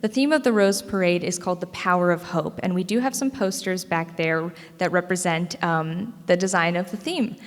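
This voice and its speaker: A teenage American woman, a high school student, giving a prepared public speech. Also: normal speed, hardly any pauses. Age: teens to young adult, high school student. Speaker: parade court student speaker. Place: indoor school meeting room. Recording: echoey hall with long reverb.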